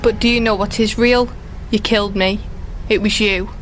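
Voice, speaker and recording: A woman's voice: medium pitch, fairly even intonation, normal speed, faint music behind, natural voice, poor audio. A woman playing a mocking, accusing apparition of a dead young woman in a sci-fi audio drama. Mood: taunting, accusing.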